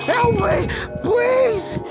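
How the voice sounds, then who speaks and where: A woman voicing a panicked, defiant villainous unicorn mare in a fan fiction reading, shrill and stammering. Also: extremely slow, loud music behind the voice, full character voice. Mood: agonized, desperate.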